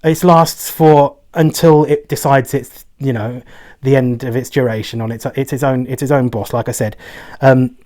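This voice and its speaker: A man's voice: medium pitch, steady tone, moderate pace, a little mellow. Chatty man reviewing perfume oils. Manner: rambling and chatty, often tagging "right?". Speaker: fragrance reviewer. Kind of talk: casual review vlog.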